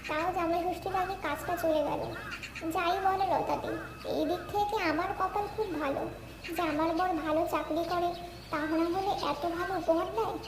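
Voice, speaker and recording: Cartoon village gossip played by a woman: snooty, smug, very high, noisy ambience behind. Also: fairly even intonation, normal speed. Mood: smug, mocking. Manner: smug, needling. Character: boastful, snooty village wife looking down on her friend. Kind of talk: Bengali cartoon fairy-tale acting. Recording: roomy echo, clear ambient noise.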